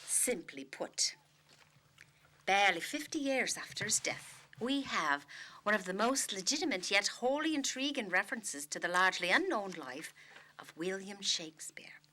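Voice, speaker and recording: A woman's voice: medium pitch, very animated, normal speed, sharp tone. Woman putting on a theatrical Irish storyteller. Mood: intriguing, hushed. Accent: Irish, put on. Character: Irish woman telling Shakespeare's death as a mystery. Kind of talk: dramatic scripted storytelling.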